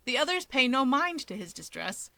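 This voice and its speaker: A woman's voice: sits high, big pitch swings, moderate pace, vocal fry, pushed and bright, dry recording. A woman reading fiction aloud as a scripted narrator. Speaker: story reader.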